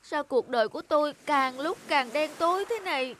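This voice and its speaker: A woman's voice: sits high, animated, brisk, fairly bright tone, slight background noise. A woman voicing a proud, self-pitying broken ship mast in a cartoon, lamenting dramatically. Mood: despairing. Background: background music.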